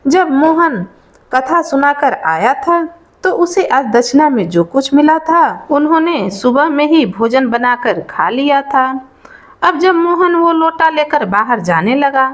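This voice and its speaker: A woman tells a traditional devotional folk tale in a high, lively voice. She takes her time, moving slowly through the story in plain spoken style, with the pitch rising and falling freely as she explains. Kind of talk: narrated Hindi devotional folk tale, informal oral storytelling.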